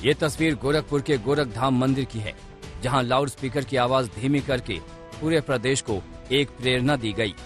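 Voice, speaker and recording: Male news reporter, formal scripted voice-over, soft music underneath. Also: medium pitch, moderate pace. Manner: steady formal report delivery. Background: faint music bed.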